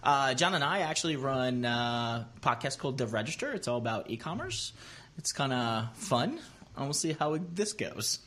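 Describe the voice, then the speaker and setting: A man's voice: medium pitch, very animated, normal speed, a bit bright. A man co-hosting a podcast, asking upbeat questions in casual chat. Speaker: guest interviewer, podcast co-host.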